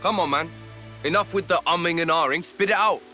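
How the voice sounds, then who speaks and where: An actor with an English accent playing a blunt, easygoing, warm-hearted young fighter in a video game cutscene, with colloquial, straight-talking delivery. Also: male voice, on the high side, a little fast, soft background music. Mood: impatient.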